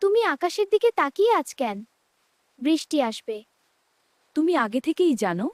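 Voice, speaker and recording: A woman's voice: sits high, very animated, measured pace. A woman voicing a curious youngster in a scripted children's cartoon, speaking in short, wondering lines. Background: music.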